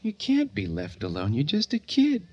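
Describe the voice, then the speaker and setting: An actor playing the blunt, taunting, impatient inner self of a grieving young man in a radio drama, with a husky voice. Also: fairly high pitch, wildly swooping pitch, normal speed, a little mellow, dull audio. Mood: cold taunting. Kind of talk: old-time radio drama acting.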